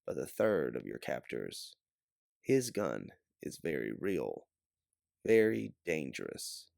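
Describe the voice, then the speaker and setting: A man narrating a science-fiction adventure story as an audiobook reader, with theatrical emphasis and a measured, dramatic delivery. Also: rumbling low, very animated, gravelly, darkish tone, dry recording, clean studio sound. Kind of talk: dramatic second-person sci-fi story narration.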